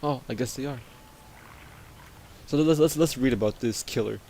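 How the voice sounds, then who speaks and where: A man with a deep voice he pushes louder, giving excitable, teasing commentary while playing a game. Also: normal speed, slight background noise. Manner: excitable, loud, teasing teammates. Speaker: gamer. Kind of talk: casual gaming commentary.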